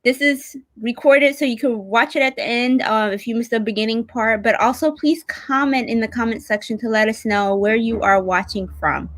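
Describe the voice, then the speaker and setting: A woman's voice: a little high, steady tone, normal speed, hardly any pauses, dry recording. A woman hosting an online show in a casual, chatty way, speaking effusively and with enthusiasm.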